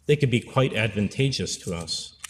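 A man's voice: fairly low pitch, expressive, moderate pace, in a large hall, a little hiss or hum. A man in his forties, a priest giving an earnest pastoral sermon at a pulpit microphone, repeating phrases for emphasis. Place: church sanctuary. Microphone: stand mic at pulpit.

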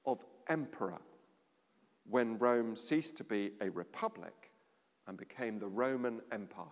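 Unhurried preacher in a reverberant church. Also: male voice, mid-range voice. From England. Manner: measured preaching with dramatic pauses and a dropping voice. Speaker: vicar. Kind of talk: sermon. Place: large stone church interior. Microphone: standing at a lectern, far camera.

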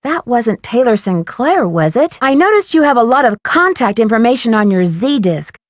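A woman playing a friendly, earnest, inquisitive young female detective in an adventure video game, coaxing and questioning with clear diction. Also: on the high side, big pitch swings, moderate pace, dry recording, studio quality. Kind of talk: scripted adventure game dialogue.

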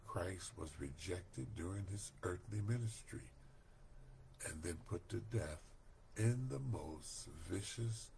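Older man, very deep gravelly voice, unhurried earnest Bible study reading, webcam mic, faint hiss. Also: warm tone. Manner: slow, deliberate, earnest reading. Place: home room, indoors. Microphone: webcam at desk.

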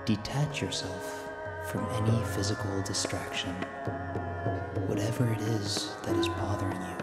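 A man narrating a scripted guided sleep meditation in a soothing, deliberate way, with an echoey sound. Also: lowish voice, steady tone. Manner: slow, soothing, deliberate delivery. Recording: echoey voice under loud music.

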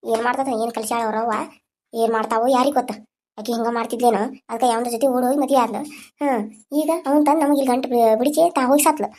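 A woman's voice: a little high, fairly even intonation, fast, dark tone. A woman voicing a harsh, scornful aunt who nags bitterly, with a North Karnataka Kannada accent. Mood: bitter scolding. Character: harsh scolding middle-aged aunt. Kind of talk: village family drama acting.

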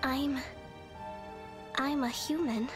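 A gentle AI girl in an anime game. Her high voice is sincere and unhurried, with music playing behind it. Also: fairly even intonation.

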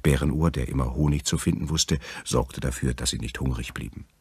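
Male fairy-tale narrator, extremely low and husky, recorded very dry. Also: fairly quick, darkish tone, dry recording. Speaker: storyteller.

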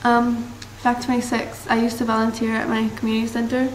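A teenage girl from Massachusetts chatting to her webcam in a bright room, rambling and bubbly with lots of fillers. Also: on the high side, completely flat delivery, fairly quick. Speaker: vlogger. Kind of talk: casual chat to camera. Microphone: built-in camera mic, close webcam framing. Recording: roomy echo, faint background hiss.

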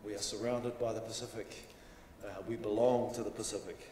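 A man in his forties at a lectern, giving a measured, formal welcome speech with phrases that trail off. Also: lowish voice, flat delivery, mellow. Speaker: university welcome speaker. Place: conference room, at a lectern. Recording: roomy hall reverb, distant mic, faint hiss.

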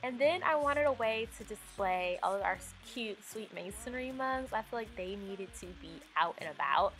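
A woman's voice: medium pitch, animated, normal speed, a bit bright. Chatty young woman vlogger. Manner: chatty, upbeat, with fillers like um and like. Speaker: home decor vlogger. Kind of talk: casual voice-over narration. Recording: faint music underneath.

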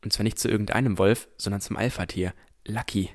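A man's voice: on the low side, fairly even intonation, brisk. A man playing a cautious, easily amazed boy who narrates his own adventure in a scripted fantasy audio drama. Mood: excited.

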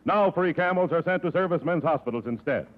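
A man's voice: a little high, lively intonation, fast. An American man reading scripted announcements in 1940s radio style. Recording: tinny 1940s radio broadcast.

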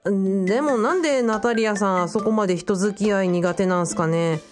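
A woman's voice: mid-range voice, moderate pace, music in the background, lightly acted. A woman playing a flustered, earnest young male student in a radio drama, speaking in casual but deferential youthful language.

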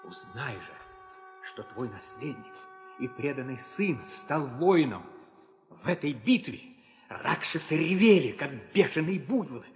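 An actor playing a noble, devoted young warrior prince, declaiming fervently in an old theatrical audio drama. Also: male voice, mid-range voice, very animated, a little slow. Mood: proud, fervent. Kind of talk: 1960s Soviet theatrical audio drama acting. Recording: old reverberant studio recording with music.

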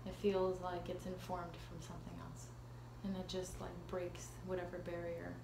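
A woman's voice: on the low side, monotone, normal speed, vocal fry. A woman in her thirties with a Canadian accent, chatting casually as an interview guest in a self-deprecating, hesitant way. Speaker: actress being interviewed. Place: living room at home. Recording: echoey room with ambient noise.

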